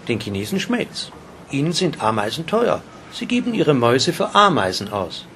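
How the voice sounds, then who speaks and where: A man reading in an absurdist radio play, explaining in a dry, deadpan manner. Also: mid-range voice, moderate pace, slight background noise.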